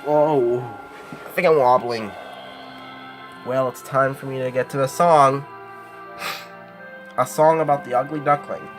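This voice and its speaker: Guy casually commenting along to a kids recording, rambly, normal pitch, bit of music in back. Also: steady tone, measured pace, long pauses. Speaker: amateur commentator on a read-along.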